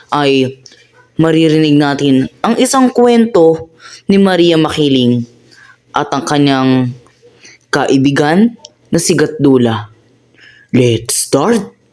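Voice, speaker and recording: A man acting as radio DJ and narrator, telling a folk tale slowly and deliberately. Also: mid-range voice, darkish tone. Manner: slow, deliberate storytelling. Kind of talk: storybook narration for a radio play. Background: music and birdsong.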